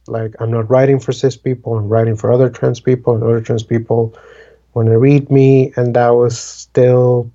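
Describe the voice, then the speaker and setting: A man in his twenties from the Dominican Republic talks in a slow, unhurried way, with a soft, mellow tone. His casual chat wanders, full of "like" and "um". The sound is dull and lo-fi. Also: mid-range voice. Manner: rambling, many fillers like "like" and "um". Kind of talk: casual conversation.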